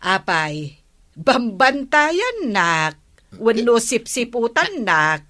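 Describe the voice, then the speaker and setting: A woman playing a village captain's wife in an Ilocano comedy drama, purposeful and measured. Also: a little high, very animated, pushed and bright, exaggerated voice. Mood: brisk, evasive. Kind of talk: Ilocano radio-style comedy drama acting.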